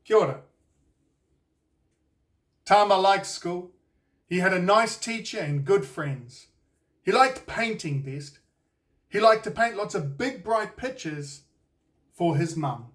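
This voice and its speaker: A man with a New Zealand accent reading a children's storybook aloud in a deliberate, expressive storytelling way. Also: high-pitched, far from the mic. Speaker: children's author reading his own book. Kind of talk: scripted storybook narration for children.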